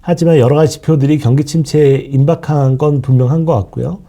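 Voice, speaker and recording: A man's voice: on the high side, steady tone, fairly quick, warm tone. A man with a sore throat from a cold, giving an explanatory lecture on the market outlook as a fund manager, with frequent fillers and trailing asides.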